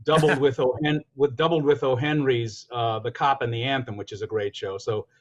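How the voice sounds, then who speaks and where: A mature man speaks casually as a guest, talking shop in a medium-pitched voice. The audio is a little dull and lo-fi, like an online call. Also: fairly even intonation, fairly quick. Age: middle-aged or older. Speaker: guest, radio drama producer and performer. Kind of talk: casual chat.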